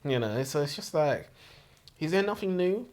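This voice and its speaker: A man's voice: a little high, lively intonation, normal speed, darkish tone. A man co-hosting a casual book review chat, speaking in a blunt, exasperated, sweary way. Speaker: co-host of a book review show.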